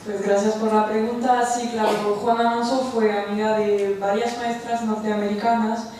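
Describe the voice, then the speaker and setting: Flat-voiced woman, far and echoey. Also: mid-range voice, a little hiss or hum. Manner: measured, explanatory answer. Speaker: panel speaker answering a question. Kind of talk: academic panel answer. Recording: distant, very echoey lecture hall.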